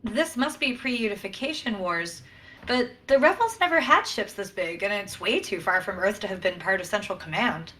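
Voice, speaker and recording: A woman's voice: mid-range voice, normal speed. A woman playing an eager, quirky, chatty starship science officer in a sci-fi comedy play. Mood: curious. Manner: excitable, chatty.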